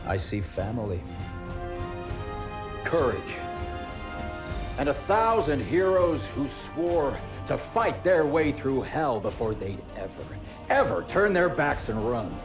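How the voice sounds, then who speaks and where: An actor playing a resolute veteran warship captain giving a solemn, measured, rousing speech in a video game. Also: male voice, mid-range voice, sing-song. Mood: solemn, stirring. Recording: loud music behind the voice.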